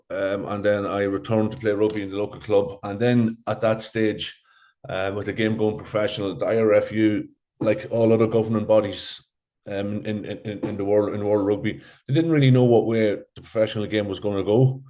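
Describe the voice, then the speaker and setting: Hesitant Irish man, low mellow voice. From Ireland. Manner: hesitant, many ums and repeated words. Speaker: rugby coach. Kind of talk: interview answers.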